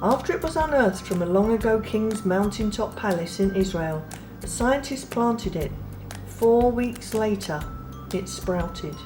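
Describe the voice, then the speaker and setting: A woman reading a children's picture book aloud in a gentle, unhurried way, pausing softly between phrases. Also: mid-range voice, expressive. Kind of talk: read-aloud storybook narration. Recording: read over clear background music.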